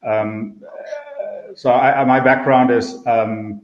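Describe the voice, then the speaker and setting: A middle-aged man speaking as a panelist, measured with a touch of dry humor. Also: medium pitch, steady tone. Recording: roomy, dull sound.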